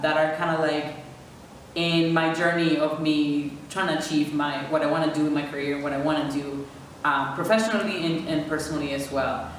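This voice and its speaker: Low-voiced woman in an echoey room. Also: recorded from a distance, slight background noise. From Venezuela. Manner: thoughtful, many fillers. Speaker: interviewee. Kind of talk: personal reflection.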